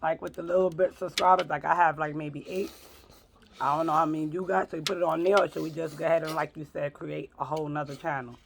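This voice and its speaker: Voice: medium pitch, flat delivery, quick pace, gravelly, noisy background. A vlogger from New York chatting casually while eating, playful and fond of repeating words. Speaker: vlog co-host. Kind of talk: casual mukbang chat.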